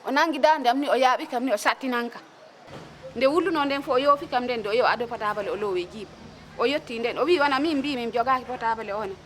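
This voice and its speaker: A woman's voice: a little high, fast talker, slight background noise. A woman speaks spontaneously as an interviewed witness, recounting in detail. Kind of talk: spontaneous testimony.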